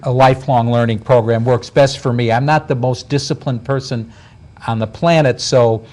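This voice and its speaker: A man's voice: mid-range voice, steady tone, normal speed, a little mellow. A man talking in a casual talk show, hesitant, with many stammers and restarts.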